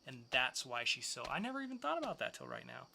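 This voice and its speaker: A man's voice: medium pitch, wildly swooping pitch, quick pace, gravelly, bright, forceful tone, slight background noise. A man hosting a podcast, casually reviewing comic books with enthusiastic, loose, slangy asides. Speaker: podcast host.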